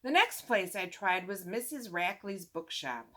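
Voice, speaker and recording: Female storytime reader, very lively pitch movement, mid-range voice, expressive emphasis, chatty asides to children. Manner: expressive, drawn-out emphasis on repeated words. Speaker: storytime reader, teacher-like host. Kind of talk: animated children's read-aloud with asides to young listeners.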